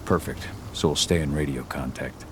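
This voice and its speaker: An actor playing a brooding, guilt-ridden werewolf warrior in a video game, speaking in a terse, grave, restrained way. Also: male voice, extremely low pitch, fairly even intonation, normal speed, background noise. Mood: businesslike. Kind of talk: video game cutscene acting.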